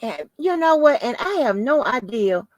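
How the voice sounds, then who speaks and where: A woman hosting a casual livestream, rambling informally to her viewers in a soft, calming voice. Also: a little high, moderate pace, dry recording. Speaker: livestream host.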